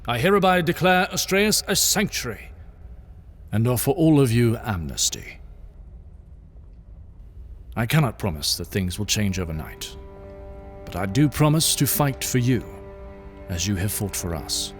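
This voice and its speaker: A man's voice: mid-range voice, very animated, unhurried, pauses often, soft background music. An actor playing an earnest, benevolent king giving a solemn, oratorical address in an audio drama. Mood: solemn, resolute. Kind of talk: audio drama speech acting.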